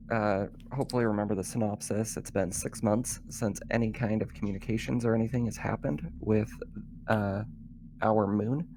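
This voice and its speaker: A man running an online tabletop roleplaying game as game master, informal and halting as he sets the scene. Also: lowish voice, a little mellow, a little hiss or hum.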